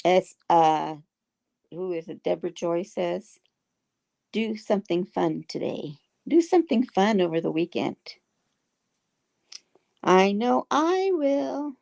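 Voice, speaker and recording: Slow, gravelly woman. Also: fairly low pitch, lively intonation, darkish tone. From Texas. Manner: chatty, unhurried, folksy. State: getting hoarse. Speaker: livestream host. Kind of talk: casual chat reading viewer comments.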